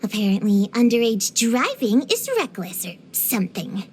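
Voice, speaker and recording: A woman's voice: on the high side, sing-song, normal speed, slight background noise. A woman voicing a precocious, feisty little girl in a video game, earnest and theatrical with grown-up wording. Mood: grumbling, puzzled. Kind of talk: scripted video game character voice lines.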